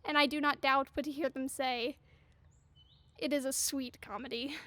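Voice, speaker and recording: A woman playing an excitable, bossy amateur player rallying fellow actors, emphatic and theatrical, in a Shakespearean audio drama. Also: sits high, moderate pace. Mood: excited, bossy.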